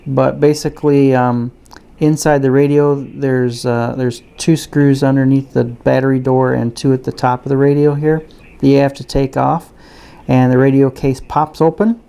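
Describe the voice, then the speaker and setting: A man giving a casual, unscripted product review and demonstration in a halting, hesitant way. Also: mid-range voice, vocal fry, dark tone, clear, resonant tone. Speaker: gadget reviewer.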